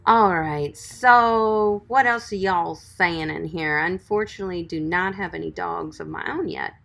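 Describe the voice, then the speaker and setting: A woman's voice: fairly low pitch, measured pace, gravelly, a bit bright, soft background music. A woman dog groomer chatting on a livestream, reading viewer comments and giving friendly, encouraging advice. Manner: chatty, encouraging, calls viewers sweetie and guys.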